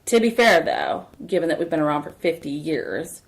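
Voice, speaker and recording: A woman's voice: low-pitched, normal speed. A woman co-hosting a casual podcast, chatty and telling a story about her workplace. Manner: chatty storyteller, animated in quotes. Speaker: podcast co-host.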